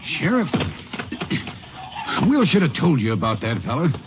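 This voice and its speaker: Animated, defensive farmer in old radio drama. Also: male voice, a little high, measured pace, music in the background, full character voice. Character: defensive, insistent Texas farmer witness. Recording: muffled old radio recording.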